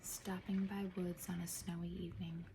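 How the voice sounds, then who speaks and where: A woman speaking softly in a breathy half-whisper, gentle and measured, as an ASMR host reading to listeners. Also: fairly low pitch, flat delivery, slight background noise. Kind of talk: soft-spoken ASMR poetry reading.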